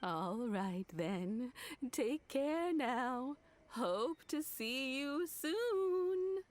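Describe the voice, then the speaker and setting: An actress playing a kindly old female servant in a video game, polite, affectionate and gently effusive. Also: high voice, animated, extremely slow, a little hiss or hum, exaggerated voice. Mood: welcoming.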